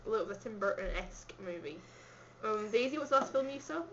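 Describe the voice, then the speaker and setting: A woman's voice: medium pitch, expressive, fast, a bit bright, lo-fi sound. Brief: rambling, giggly woman, light Scottish accent, faint hiss behind her. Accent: slight Scottish. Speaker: vlogger. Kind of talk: casual chat answering a questionnaire.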